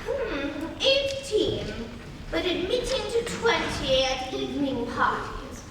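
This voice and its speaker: A young woman playing a haughty, imperious older aristocratic aunt in a stage comedy reading, with grand, drawn-out theatrical emphasis. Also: high voice, sing-song, heavily acted. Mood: condescending. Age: young adult. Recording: echoey noisy hall from far off.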